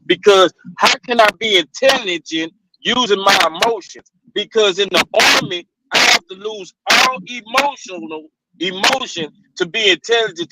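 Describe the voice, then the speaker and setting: Guy on a panel, higher voice, stammers a lot, earnest, noisy audio. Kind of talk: casual panel discussion.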